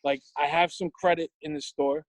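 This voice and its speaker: A man in his forties with a New York accent talks loosely and casually, full of fillers and swearing. His voice sits a little high. The sound is dry and close. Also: fairly even intonation, moderate pace, no room sound, poor audio. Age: 40s. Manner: rambling, lots of fillers, swears freely. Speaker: guest, tattoo shop owner. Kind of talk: casual podcast chat.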